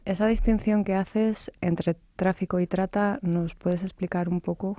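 A woman's voice: mid-range voice, fairly even intonation, unhurried, mellow, no echo. A woman interviewing a guest on the radio, asking brief, prompting questions. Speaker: interviewer, anthropology tutor.

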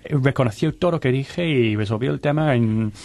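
A man's voice: medium pitch, moderate pace, a little mellow, no room sound. A man who directs a technology innovation centre, explaining at a round-table discussion around a studio table, often restarting his words. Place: studio table with other guests.

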